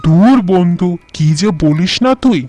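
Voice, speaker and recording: A man's voice: high voice, expressive, a little slow, a little mellow, soft background music. A man voicing a cheerful, joking young farmer in a cartoon, chatty and teasing.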